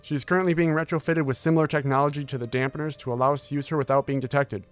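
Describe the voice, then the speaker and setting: A man playing a composed, determined military scientist who speaks in a measured, formal, authoritative way in an audio drama. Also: on the high side, steady tone, soft background music, close to an everyday voice. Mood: formal, explanatory. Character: composed, determined male military intelligence scientist briefing Marines. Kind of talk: audio drama acting, briefing.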